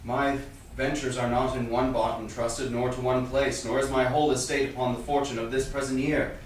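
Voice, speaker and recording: A man's voice: medium pitch, level tone, normal speed, natural voice. Man acting a melancholy merchant in an echoey room. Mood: courteous, dismissive. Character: melancholy, courteous Venetian merchant, a sad gentleman. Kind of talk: staged reading of Shakespearean verse. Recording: distant echoey room, faint noise.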